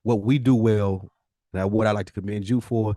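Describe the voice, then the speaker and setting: A man's voice: fairly low pitch, steady tone, moderate pace, warm tone, no room sound, clean studio sound. A man co-hosting a podcast in casual conversation, talking in an earnest, slangy way and often posing rhetorical questions. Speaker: podcast co-host.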